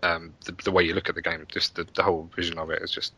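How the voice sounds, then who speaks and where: A man's voice: low voice, flat delivery, rapid-fire, bright, forceful tone, breathy edge. A British man chatting casually as a podcast guest, talking in a rambling, self-interrupting way. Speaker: podcast guest, web developer.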